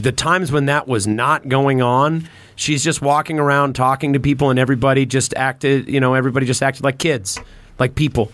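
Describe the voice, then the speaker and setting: A man's voice: mid-range voice, a bit bright, clean tone, no echo. A man hosting a podcast in casual conversation, rambling along with informal slang and swearing. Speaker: podcast host.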